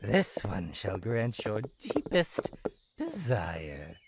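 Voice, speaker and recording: A woman's voice: rumbling low, wildly swooping pitch, drawn-out words, very noisy, exaggerated voice. A woman voicing a playful, teasing and sinister clown-like jester demon in a horror visual novel game, with theatrical sing-song delivery. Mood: sinister, seductive. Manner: theatrical sing-song teasing, sudden swoops into breathy menace.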